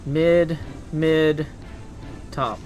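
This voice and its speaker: A man's voice: on the high side, steady tone, very slow, a little mellow, faint music behind. A man streaming a video game with casual commentary, muttering as he thinks aloud.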